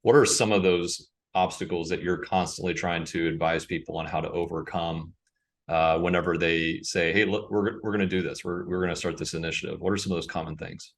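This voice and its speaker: A man hosting an interview, chatting in a relaxed, conversational way. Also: low voice, fairly quick. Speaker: podcast host. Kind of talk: casual interview questions.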